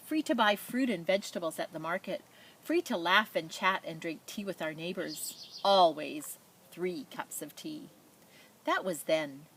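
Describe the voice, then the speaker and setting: A woman reading a children's picture book aloud in a measured, expressive storytelling manner, with spoken asides. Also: fairly low pitch, a little hiss or hum. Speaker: storybook reader.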